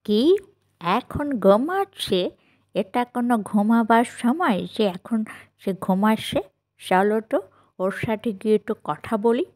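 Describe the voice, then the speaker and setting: A woman's voice: a little high, expressive, unhurried, darkish tone. A woman playing a stern older village mother in a cartoon, questioning and scolding. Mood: questioning, displeased. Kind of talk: cartoon voice acting.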